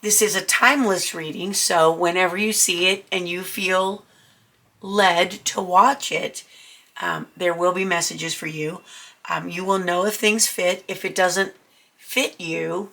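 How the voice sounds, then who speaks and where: A woman's voice: on the low side, fairly even intonation, unhurried, creaky, sharp tone. A woman with a cold giving a chatty, reassuring tarot reading to her viewers. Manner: chatty, reassuring, many ums. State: getting over a cold. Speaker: tarot reader. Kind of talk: casual tarot reading to camera.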